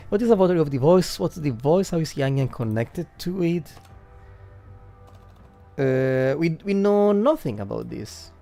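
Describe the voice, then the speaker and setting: A man livestreaming game commentary and analysis, thinking aloud haltingly and repeating words as he works out his points. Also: on the high side, gravelly, mellow.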